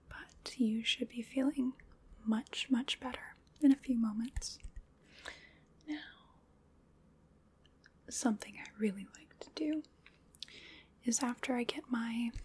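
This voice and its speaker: A woman voicing a playful, chatty female vampire in a whispered ASMR roleplay, breathy, soothing and wryly polite as she dotes on her prey. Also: fairly high pitch, dark tone, exaggerated voice.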